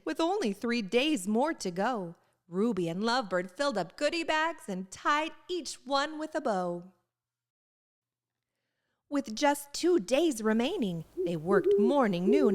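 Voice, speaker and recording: A woman reading a children's storybook aloud with expressive, sing-song emphasis. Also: on the high side. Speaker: children's book reader.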